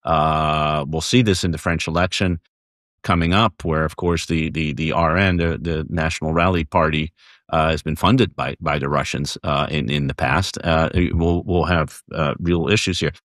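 American man, very deep voice, panel moderator, measured halting delivery, dry sound. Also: steady tone, fairly bright tone, dry recording. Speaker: former ambassador moderating a panel. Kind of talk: panel discussion.

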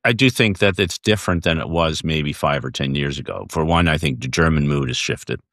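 An American man moderating a panel discussion as a former diplomat, speaking in a measured, halting way with repeated phrases. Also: a bass voice, fairly bright tone, no echo, studio quality.

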